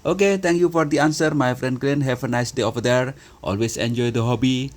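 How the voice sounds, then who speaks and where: A man's voice: medium pitch, fairly quick. A man with an Indonesian accent speaking on amateur radio, formal and friendly, using radio sign-offs. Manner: formal radio etiquette, repeats "roger" and "my friend". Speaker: ham radio operator. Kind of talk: two-way radio chat.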